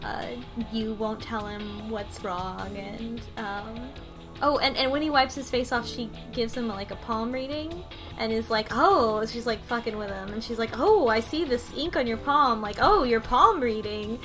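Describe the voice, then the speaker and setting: A woman's voice: a little high, a little slow. A woman co-hosting a podcast, chatting casually and informally with a joking manner. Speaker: podcast co-host. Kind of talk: casual chat. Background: music behind the voice.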